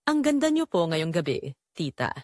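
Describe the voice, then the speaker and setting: A woman's voice: on the low side, big pitch swings, normal speed, no room sound, studio quality. A woman voicing a polite, tentative young man yearning in forbidden love, with a Filipino accent, for an audio drama. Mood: intimate. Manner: soft-spoken, tentative, courteous.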